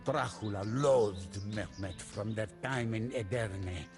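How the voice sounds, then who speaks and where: A solemn soldier telling a dark tale voiced by a man in a medium-pitched voice. He narrates very slowly and deliberately, with theatrical weight, like a game cutscene. Music plays clearly beneath the studio narration. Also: lightly acted. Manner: measured, theatrical. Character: soldier recounting a mysterious adventure, solemn storyteller. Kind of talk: dramatic scripted storytelling.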